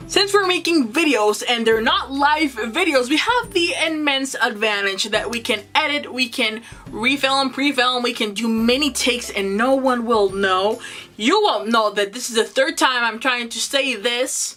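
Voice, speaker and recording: A woman's voice: on the high side, pushed and bright. A young woman with a Spanish accent, a native Spanish speaker, giving earnest, encouraging advice in a vlog to the camera. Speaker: booktuber.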